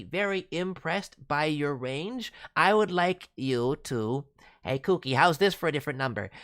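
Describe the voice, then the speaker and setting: A woman with a low voice hosts a casual review stream. Her pitch moves around a great deal as she coaches in an animated, encouraging way. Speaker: streaming host and voice director reviewing demos. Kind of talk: casual livestream critique.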